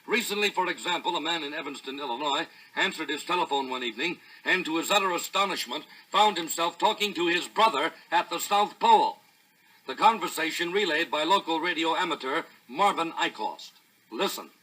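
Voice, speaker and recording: A man's voice: fairly high pitch, animated, a little fast, bright, forceful tone, husky, low-quality recording. An American man narrating a scripted 1950s promotional record in an earnest, dramatic, formal announcer's manner.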